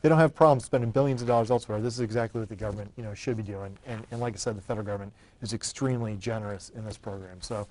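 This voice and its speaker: A man who is a state senator, talking quickly and forcefully about a bill he is pushing on his own talk show. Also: lowish voice, steady tone, a little hiss or hum, poor audio. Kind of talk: public affairs talk show.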